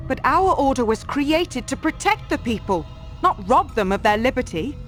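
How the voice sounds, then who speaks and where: A woman's voice: sits high, very animated, moderate pace, soft background music. A woman playing a principled, defiant female Templar in a video game, challenging and earnest. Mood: indignant.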